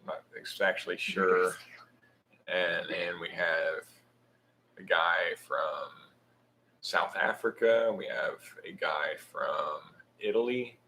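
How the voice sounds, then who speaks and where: A deep, gravelly man from Oklahoma chatting casually as a co-host, mumbling his words. His low voice has a bright edge, and a faint hum of noise sits behind him. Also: takes it slowly. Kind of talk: casual chat.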